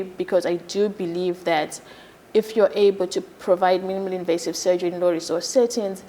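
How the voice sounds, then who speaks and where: Soft-spoken woman doctor from Zimbabwe. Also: lowish voice, a little hiss or hum. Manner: soft-spoken, many fillers, laughs easily. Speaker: gynecologic surgeon, guest. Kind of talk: TV interview.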